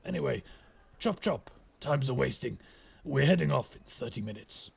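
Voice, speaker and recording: A man's voice: medium pitch, lively intonation, normal speed, gravelly, husky, exaggerated voice. A man playing a roguish, mercenary skyship captain with wry, courteous, old-fashioned speech in an immersive audio roleplay. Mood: wry, brisk. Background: ambient sound effects.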